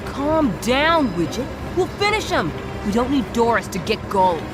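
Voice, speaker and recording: An actor playing a bossy, greedy villain in a video game, brisk and commanding. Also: mid-range voice, big pitch swings. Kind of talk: scripted video game character acting. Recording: music behind the voice.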